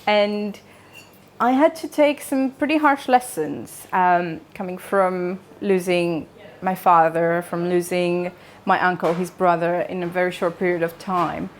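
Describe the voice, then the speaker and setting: A woman's voice: lowish voice, fairly even intonation, measured pace, slight background noise. Romanian-accented woman telling her personal story. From Transylvania, Romania. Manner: conversational, joking asides, questions to listeners. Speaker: speaker at a wellness talk. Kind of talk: informal public talk, personal storytelling.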